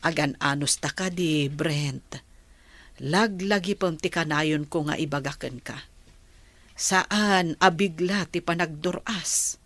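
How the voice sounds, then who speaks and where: A woman's voice: low-pitched, slow, fairly bright tone, no echo, exaggerated voice. A woman acting a patient, encouraging mother of a grown son in a radio drama.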